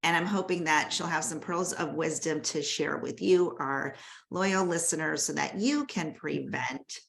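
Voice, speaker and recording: A woman's voice: deep voice, a little slow, vocal fry, a bit bright. A woman who is a dentist and yoga teacher, hosting a podcast, introducing and questioning her guest in a friendly way that laughs easily. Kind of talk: podcast host introduction and interview.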